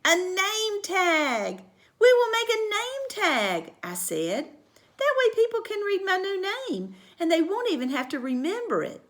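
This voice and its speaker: A woman reading a storybook aloud, voicing an excitable, bossy little kindergarten girl who squeals and shouts. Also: extremely high pitch, measured pace, pitched above the performer's own voice, full character voice. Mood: excited.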